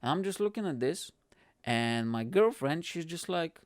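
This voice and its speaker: A man in his early thirties from Bulgaria, hosting his podcast with a lively, swearing, casual storytelling manner, recorded close on a stand mic in a small room at home. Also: a little high, normal speed, no echo. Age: 30s. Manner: animated, swearing, laughing, acts out quotes. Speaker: podcast host. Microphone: studio mic on stand close to mouth.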